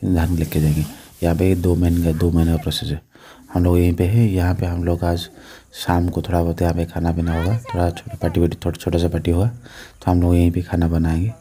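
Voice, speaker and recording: A man's voice: low voice, dark tone. Village vlogger man with an Odia accent. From Odisha, India. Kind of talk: casual vlog narration. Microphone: phone at arm's length. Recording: noisy handheld phone recording.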